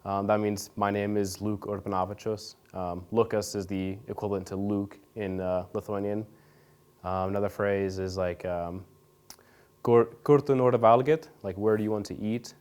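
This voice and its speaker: Young male interview guest, low-pitched and smooth; easygoing, rambling delivery with lots of "like" and "um". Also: normal speed. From Nebraska, USA. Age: young adult. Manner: relaxed, rambling, many fillers and restarts. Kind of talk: casual interview answers. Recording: radio studio interview.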